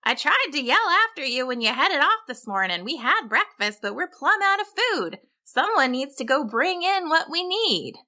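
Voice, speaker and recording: A woman's voice: sits high, sing-song, moderate pace, few pauses, pushed and bright, voice pushed up, exaggerated voice. A woman voicing a hearty, folksy, plain-spoken female lumber-camp cook in a children's story. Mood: folksy, urgent.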